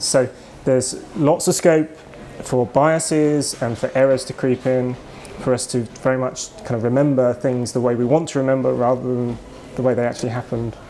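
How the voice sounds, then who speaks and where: A man's voice: medium pitch, warm tone, slight background noise. British man explaining thoughtfully. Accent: British English. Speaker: author and psychologist. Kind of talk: interview answers. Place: kitchen shop, indoors.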